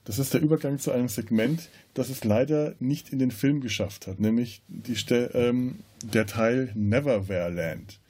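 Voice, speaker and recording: A man co-hosting a podcast, casually discussing film in a halting, searching way. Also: mid-range voice, dark tone, breathy edge. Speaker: podcast co-host.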